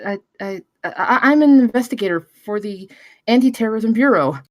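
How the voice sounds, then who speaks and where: A woman's voice: a little high, lively intonation, moderate pace, no room sound, clean studio sound, close to an everyday voice. A young woman at a film table read playing an earnest, insistent intern investigator in her early twenties. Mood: defiant.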